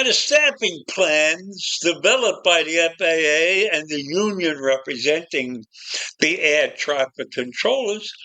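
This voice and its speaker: A man's voice: high-pitched, sharp tone, low-quality recording. A man giving informal, opinionated commentary on the news, partly reading from notes, in a blunt, emphatic manner. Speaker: commentator presenting news issues.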